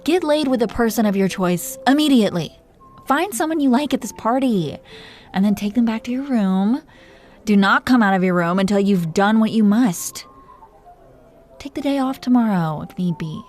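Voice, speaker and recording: A woman's voice: mid-range voice, animated, normal speed, darkish tone, faint music behind, close to an everyday voice. A woman playing a commanding, playful, possessive dragon queen in an intimate roleplay audio drama. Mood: teasing, bossy. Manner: imperious, playful, teasing.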